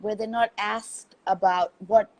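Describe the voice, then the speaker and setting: A woman host from Pakistan, asking questions thoughtfully and hesitantly, pausing to correct her slips. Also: medium pitch, fairly even intonation. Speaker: podcast host. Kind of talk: interview conversation.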